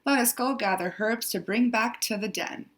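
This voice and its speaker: A woman voicing a brisk, helpful raven in a children's storybook, calling out to rally its friends. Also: a little high, natural voice. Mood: brisk urging.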